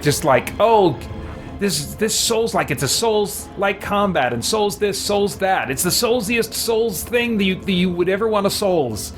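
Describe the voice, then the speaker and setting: Male game streamer, slightly higher pitch, casual thinking-aloud commentary, game music underneath. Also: lively intonation, a little slow. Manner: musing, rambling, thinking aloud. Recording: music playing behind the voice.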